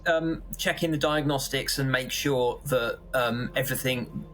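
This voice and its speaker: A man playing a harried station executive who gives halting, placating instructions in a sci-fi role-play. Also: medium pitch, a bit bright, natural voice.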